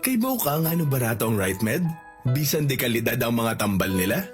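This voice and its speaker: Mid-pitched man over loud music. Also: big pitch swings, fairly quick. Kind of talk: persuasive scripted radio advert.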